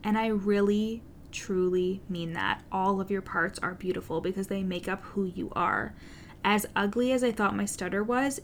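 A woman coach talks to her listeners on her own podcast, earnest and direct, with a curse word here and there. Her voice sits at an ordinary middle pitch. A faint low rumble runs under the recording. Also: fairly bright tone. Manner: earnest, direct, occasional swearing. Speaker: podcast host and business coach. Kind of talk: solo podcast monologue.

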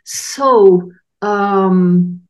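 A woman's voice: mid-range voice, extremely slow, low-quality recording. A woman from Mexico with a Mexican Spanish accent, a native Spanish speaker hosting an interview and searching for her words with frequent laughs. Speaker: podcast host. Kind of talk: casual interview chat.

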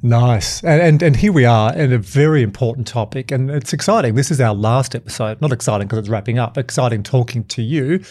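Male podcast host, mid-pitched voice, upbeat and conversational interviewer. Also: a little fast, few pauses, darkish tone.

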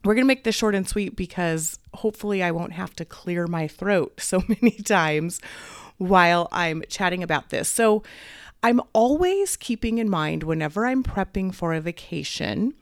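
A woman hosting a podcast as a weight coach, talking casually while fighting a head cold, a little raspy and clearing her throat. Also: lowish voice, lively intonation, moderate pace, no echo, clean studio sound. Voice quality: slightly raspy. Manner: upbeat, encouraging, faith-based. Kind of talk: casual solo podcast talk.

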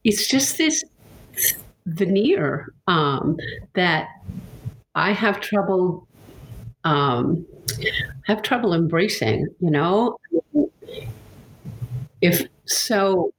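A woman's voice: on the low side, expressive, very slow, long pauses, low-quality recording. A woman interviewer talking casually and reflectively, searching for her words and often repeating them as she goes. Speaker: interviewer and yoga writer.

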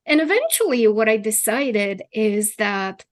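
A woman's voice: on the high side, big pitch swings, a little slow. Woman, middle-aged, podcast guest, earnest, reflective, conversational, "right?" tags. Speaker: podcast guest, former attorney turned healer. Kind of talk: casual interview conversation.

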